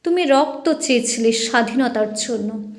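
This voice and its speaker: A woman reciting poetry dramatically, in a solemn, impassioned, deliberate declamation. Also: a little high, expressive, reverberant.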